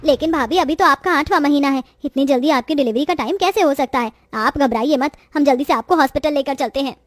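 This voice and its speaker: A devoted young aunt in an animated story, voiced by a woman. Her high voice is kind but firm. The recording is dry and close. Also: quick pace, no echo, lightly acted. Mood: caring. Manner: caring, firm.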